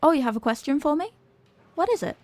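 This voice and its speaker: A woman's voice: sits high, lively intonation, fast, a little mellow, no echo. A woman playing an evasive, flustered popular cheerleader being tutored, in an acted ASMR roleplay with a hesitant, defensive delivery. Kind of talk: ASMR roleplay, acted one-sided conversation.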